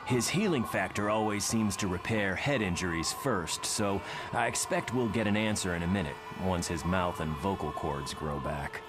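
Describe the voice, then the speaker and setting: A man's voice: sits low, lively intonation, normal speed. A man voicing a polite, learned scientist superhero in a motion comic. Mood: matter-of-fact.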